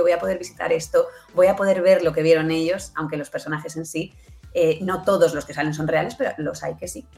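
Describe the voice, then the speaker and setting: Spanish woman guest chatting in an interview, really low voice, explaining stuff, faint music under it. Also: creaky, a little mellow. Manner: explanatory, seeks agreement with tag questions. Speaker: interview guest presenting an audio drama. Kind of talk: conversational interview.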